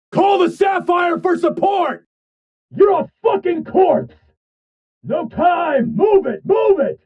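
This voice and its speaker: A man's voice: very high-pitched, phone-quality sound, exaggerated voice. A man playing a furious military commander in a video game, barking urgent orders. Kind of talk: video game combat acting.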